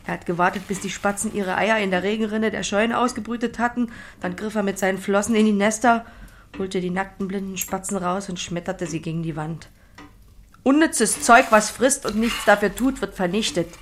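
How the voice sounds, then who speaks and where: A woman's voice: medium pitch, steady tone, a little fast, close to an everyday voice. An actress playing an earthy, stubborn young woman pig farmer in a radio drama, blunt and plain-spoken. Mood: grim, recollecting. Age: young adult. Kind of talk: German audio drama acting.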